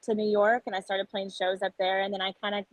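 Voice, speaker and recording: A woman's voice: medium pitch, flat delivery, quick pace, no echo, poor audio. A young woman from San Antonio, a country singer-songwriter, talking openly and earnestly in a casual podcast interview. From San Antonio, Texas. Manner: open, earnest, talkative. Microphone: podcast microphone.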